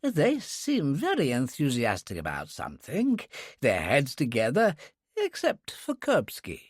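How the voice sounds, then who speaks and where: A male narrator plays a poised, educated woman, cool and curious. The delivery is understated and leisurely, with flexible pitch, in a dry storybook recording. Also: wildly swooping pitch, unhurried, dry recording. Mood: dry, observant.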